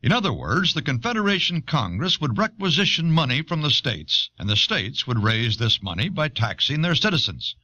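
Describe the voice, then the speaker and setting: A man reading scripted documentary narration in a measured, explanatory manner, on an old cassette recording. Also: fairly high pitch, big pitch swings, sharp tone, no echo, highs cut off. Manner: measured, expository.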